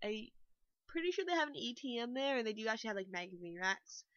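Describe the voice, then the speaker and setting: A woman's voice: on the high side, sing-song, quick pace, a bit bright, no room sound. A young woman, a bit nasal and still a little sick, rambling casually through a voice-over walkthrough with lots of fillers. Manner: rambling, chatty, full of fillers. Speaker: game builder vlogger. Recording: dull dry lo-fi mic.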